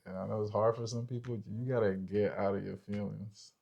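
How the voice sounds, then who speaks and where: A man's voice: lowish voice, fairly even intonation, a little fast, vocal fry, mellow, highs cut off. A man co-hosting a relationship podcast, explaining casually and informally, often checking that the listener follows. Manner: informal, checks in with "right?" and "you know?".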